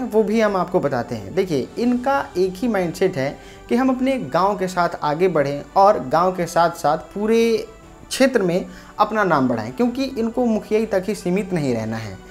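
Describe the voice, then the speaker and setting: A woman's voice: medium pitch, big pitch swings, normal speed, a little mellow. A woman presenting a local news report, narrating and speaking directly to viewers. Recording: faint music bed behind voice.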